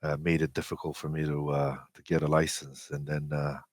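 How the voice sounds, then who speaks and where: A man in his forties with a Romanian accent, a native Romanian speaker, telling personal stories as an interview guest in a halting, hesitant way with many pauses. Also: extremely low pitch, level tone, no room sound, studio quality. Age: 40s. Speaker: interview guest, amateur radio operator.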